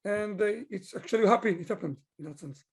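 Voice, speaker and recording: A man from Israel with a husky, gravelly voice, talking at length and explaining his work as a consultant in a conversational interview. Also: sits high, brisk. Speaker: business owner, digital consultant being interviewed.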